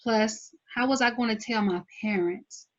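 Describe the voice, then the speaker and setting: A woman's voice: on the high side, unhurried, close to an everyday voice. Guarded young woman actress, muffled recording. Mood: confessional, upset. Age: young adult. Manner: slangy, blunt, defensive. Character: guarded, driven twenties woman, sharp-tongued and proud. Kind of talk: table read of TV drama script. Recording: dull lo-fi recording.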